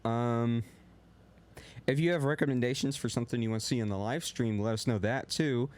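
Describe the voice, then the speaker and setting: A young man co-hosting a sports podcast in casual chat. He talks a little loud and rambles, with lots of filler words. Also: medium pitch, a little fast. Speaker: podcast co-host.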